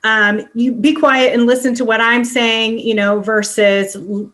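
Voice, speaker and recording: A woman's voice: on the high side, level tone, measured pace, a bit bright. A middle-aged woman hosting a podcast, talking candidly and relatably in a casual conversational style. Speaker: podcast host.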